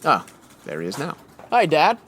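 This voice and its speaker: An actor playing a wry, easily exasperated 1940s newspaper photographer in a radio comedy. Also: male voice, on the high side, wildly swooping pitch, moderate pace, fairly bright tone, slight background noise, heavily acted. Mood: friendly, concerned. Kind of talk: old-time radio drama acting.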